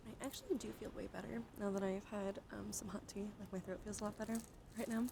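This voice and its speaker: A woman live streamer chatting casually in an ASMR style, soft-spoken and often slipping into breathy whispers, close to the microphone. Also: mid-range voice, steady tone, quick pace, noisy background. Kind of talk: casual ASMR livestream chat.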